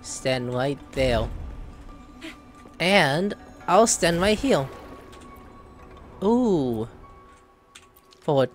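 A man's voice: on the high side, very animated, very slow, long pauses. A man giving casual, playful playthrough commentary on a video game, reacting aloud as he goes. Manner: playful, reacts aloud, reads dialogue in character. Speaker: gaming streamer. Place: indoor room, game audio behind. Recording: faint game music behind voice.

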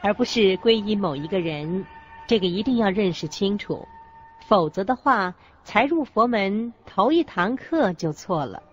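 A woman's voice: medium pitch, expressive, measured pace. A woman reading scripted religious narration as co-narrator of a radio lecture. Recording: dull lo-fi audio with background music.

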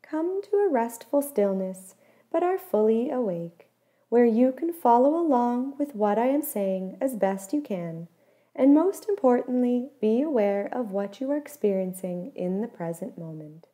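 A woman with a fairly high, very mellow, relaxed voice guides a meditation. She gives each instruction gently and in measured phrases, softening at the ends. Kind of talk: guided body scan meditation.

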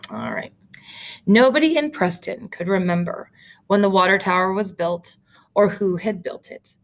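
A middle-aged woman teacher reading a storybook aloud, with deliberate, explanatory asides. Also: mid-range voice, animated, vocal fry. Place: small indoor room with photo-covered wall.

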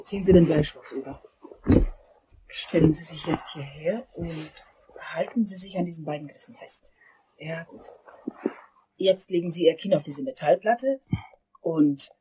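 An actress playing a composed, polite dental assistant in a crime audio drama, with a husky, breathy voice and a soft, measured manner. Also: female voice, sits low, a little hiss or hum, rough recording.

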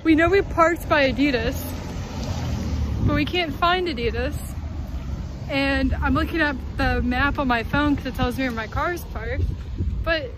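A woman's voice: high voice, a little slow, long pauses, noisy background. Chatty young woman vlogging on her phone. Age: young adult. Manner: chatty, giggly, narrates her day to the camera. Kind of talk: casual vlog narration. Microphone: phone held at arm's length.

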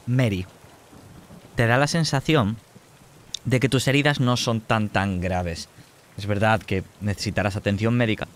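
A low-pitched man's voice. He narrates a tabletop horror game as game master, explaining to a player in measured, repeating phrases. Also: steady tone.

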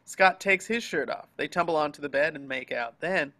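A man reads screenplay action lines as the narrator of a table read. His voice is bright and forceful, pitched a bit above average for a man. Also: moderate pace. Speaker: narrator reading action descriptions. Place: online group video call.

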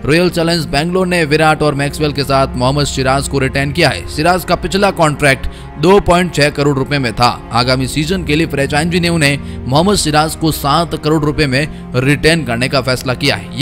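A young man reading a scripted cricket news voice-over in a brisk, emphatic way, with asides to viewers. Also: on the high side, a bit bright. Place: voice-over, not in pictured place. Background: faint background music.

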